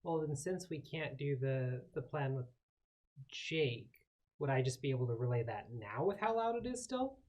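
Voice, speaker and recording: A man's voice: mid-range voice, expressive, moderate pace, a little mellow. A man playing a tabletop game, speaking casually and checking details with questions.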